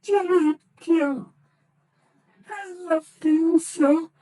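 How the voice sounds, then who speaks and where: Sobbing mom in an old radio play, high teary voice, really slow. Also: female voice, warm tone, full character voice. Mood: grieving. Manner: tearful, breaking. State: crying. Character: grieving mother who lost her little son. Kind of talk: 1940s radio acting.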